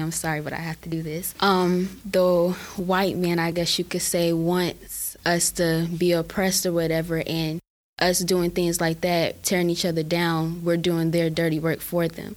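Teen girl panelist, low steady voice, earnest and filler-heavy. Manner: earnest, many "I feel like" and "like" fillers. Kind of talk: casual discussion.

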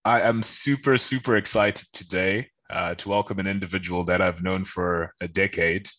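A man's voice: sits low, fairly even intonation, moderate pace, bright, forceful tone. A man hosting a podcast, chatting casually and informally with enthusiasm as he asks questions. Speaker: podcast host. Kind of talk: casual interview chat.

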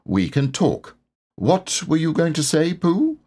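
Self-important male rabbit, storybook, impatient and bossy, raised pitch, voiced by a man. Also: big pitch swings, warm tone, full character voice. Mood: self-satisfied. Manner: brisk, bossing others along.

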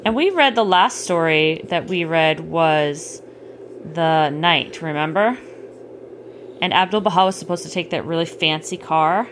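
A middle-aged woman chatting casually with a child at bedtime, gently explaining things and checking in with questions. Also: low-pitched, a little slow. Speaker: parent hosting a bedtime story podcast. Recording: clearly noisy home recording.

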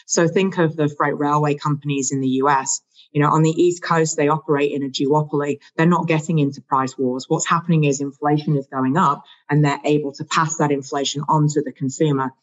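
An investment analyst guest explaining infrastructure investing, often pausing with "you know" fillers. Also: medium pitch, fairly even intonation, muffled recording. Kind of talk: conversational explanation.

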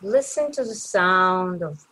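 Woman doing guided meditation, super slow n gentle, lowish voice, long pauses, water noise behind. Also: animated, rough recording.

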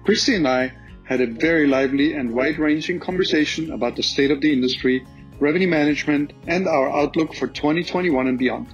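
A middle-aged man with an Austrian accent, talking through industry questions in a measured, optimistic way, with plenty of ums. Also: a little high, soft background music. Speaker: podcast host and industry guest. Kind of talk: conversational interview answers. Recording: slightly dull lo-fi audio.

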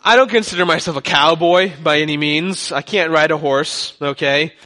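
A man's voice: a little high, normal speed, bright, forceful tone. A young man from Texas with a light Texan accent, preaching a sermon as a guest pastor in a joking, conversational way. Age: young adult.

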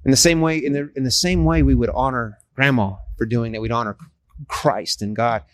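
A man's voice: medium pitch, normal speed, no room sound. Earnest man in his forties, dry sound. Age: 40s. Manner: earnest and persuasive, frequent restarts. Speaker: street evangelist. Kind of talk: casual street conversation.

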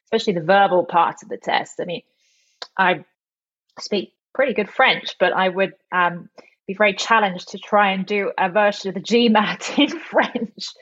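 A woman's voice: mid-range voice, normal speed, fairly bright tone. A woman on a panel discussion, speaking thoughtfully with some restarts. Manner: thoughtful, with restarts and light laughs. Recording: dull lo-fi audio.

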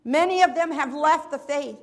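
High-voiced woman preaching a sermon. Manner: earnest, measured preaching with repeated invocations. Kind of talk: sermon with prayer. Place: church sanctuary.

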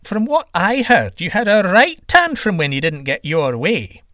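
A sly trickster god with a high, teasing lilt that swoops up and down, as a man puts him on. He mocks with a smirk in his voice. The sound is dry and close. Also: big pitch swings, a little slow, bright, forceful tone, no echo, heavily acted.

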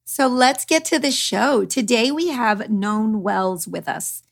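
A woman's voice: fairly high pitch, slow pace, vocal fry. A woman hosting a podcast, talking in a chatty, gushing, informal way straight to listeners. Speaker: podcast host. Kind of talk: podcast intro, chatty monologue.

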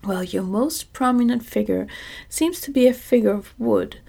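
A woman's voice: on the high side, moderate pace, vocal fry. Scarecrow, a fussy straw king, as a whispering woman puts him on. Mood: lecturing. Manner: soft whispered reading.

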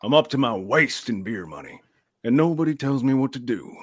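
A man's voice: mid-range voice, big pitch swings, brisk, dry recording, clean studio sound. A man playing a cocky, beer-loving mutant strongman with a slangy, dismissive manner in a live radio play. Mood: smug, dismissive.

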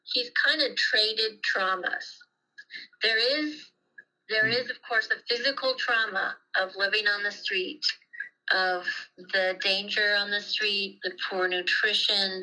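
A middle-aged woman talks candidly as an interviewee. Her voice is strikingly bright and sharp at an average pitch, and she speaks reflectively, leaning on repeated words for emphasis. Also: rough recording. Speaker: interviewee, mother of the story's subject.

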